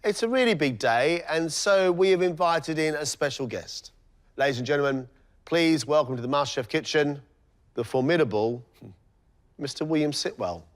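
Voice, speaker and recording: A man's voice: a little high, normal speed. Upbeat male cooking show judge. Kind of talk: TV cooking competition judging. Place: TV studio kitchen. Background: background music.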